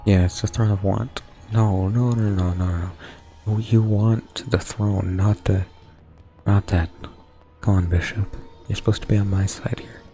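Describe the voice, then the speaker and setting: A young man with a lowish voice half-whispers casual commentary to his stream chat as he plays. He keeps up a soft breathy delivery with mouth noises while his throat wears sore. Faint game music plays under his voice. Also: steady tone, a little slow. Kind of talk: casual gaming commentary, trying ASMR-style whispering.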